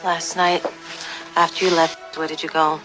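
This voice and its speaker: A woman's voice: sits low, level tone, moderate pace, a bit bright, soft background music, poor audio. A woman playing a composed, probing homicide detective who asks direct, pointed questions in an audio drama.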